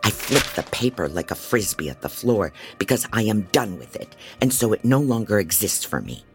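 A man's voice: on the low side, sing-song, normal speed, faint music behind. A man playing a frantic, disorganized private investigator who narrates an audio drama in a rambling, wry, self-doubting way. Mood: agitated. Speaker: narrator and lead actor. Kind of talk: first-person dramatic audio fiction narration.

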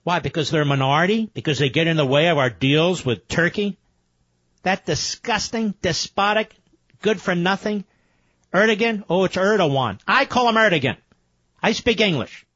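A man's voice: sits high, moderate pace, dry recording. A man hosting a talk radio show, speaking emphatically and growing indignant. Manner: emphatic, indignant, rising to loud peaks. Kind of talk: talk radio monologue and call-in. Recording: dull lo-fi radio sound, dry.

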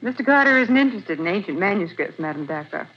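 A woman's voice: medium pitch, lively intonation, fast talker, over music, lightly acted. An actress playing a young, inquisitive female assistant to a detective in a 1940s radio drama. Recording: dull lo-fi 1940s radio recording with hiss.

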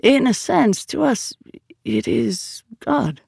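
An audiobook narrator voicing a serene male acolyte who speaks haltingly and philosophically, searching for words. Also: mid-range voice, lively intonation, gravelly, a little mellow, breathy edge, no echo, studio quality, voice pushed up, full character voice. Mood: serene, earnest. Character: serene, hesitant otherworldly religious acolyte, a male. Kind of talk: audiobook character dialogue.

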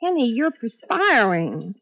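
An actress playing a weary, loving young wife, earnest and gently reproachful, in a 1950s radio drama. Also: female voice, fairly high pitch, very animated, measured pace, exaggerated voice. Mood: worried. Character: long-suffering, loving young wife and mother, weary, patient. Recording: dull 1950s radio recording.

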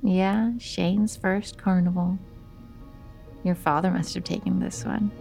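Creaky, soothing, wry mother apparition. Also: lowish voice, fairly even intonation, takes it slowly, darkish tone, soft background music. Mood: tender, wistful. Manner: soothing, wry, matter-of-fact. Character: imagined apparition of a mother, calm, knowing, tender. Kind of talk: audio drama acting.

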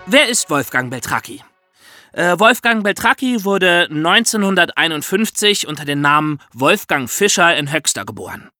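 A man co-hosting a podcast in a lively, conversational style, telling things in a chatty, colloquial way. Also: a little high, normal speed, faint music behind. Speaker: podcast co-host.

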